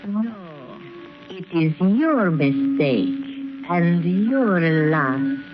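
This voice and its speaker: An actress playing a coldly triumphant, menacing villainess in a theatrical 1930s radio drama. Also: female voice, on the low side, sing-song, exaggerated voice. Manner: slow, ominous, theatrical. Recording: muffled 1930s radio recording.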